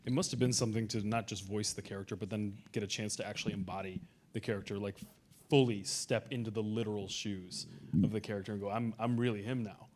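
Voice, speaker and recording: Fast-talking man with a lowish voice. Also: steady tone, darkish tone. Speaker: panel host. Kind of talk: casual panel moderation.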